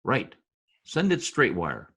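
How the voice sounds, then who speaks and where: A man's voice: on the low side, wildly swooping pitch, slow, creaky, a bit bright, breathy edge, full character voice. Man acting a pompous, wildly swooping radio-play diplomat. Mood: pompous, urgent. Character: pompous, scheming Latin male diplomat of a small dictatorship. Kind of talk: old-time radio drama acting.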